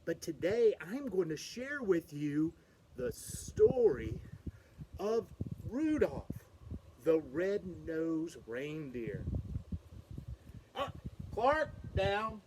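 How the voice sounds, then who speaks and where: A man's voice: high-pitched, very animated, very slow, pauses often, noisy background. A man reading a children's picture book aloud, playfully talking to his young audience.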